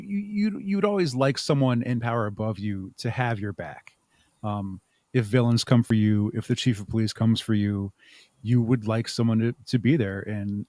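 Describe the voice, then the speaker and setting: A man's voice: fairly low pitch, a little mellow, no echo. A man speaking as a podcast guest in casual interview talk, explaining things thoughtfully. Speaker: podcast guest and audio drama creator.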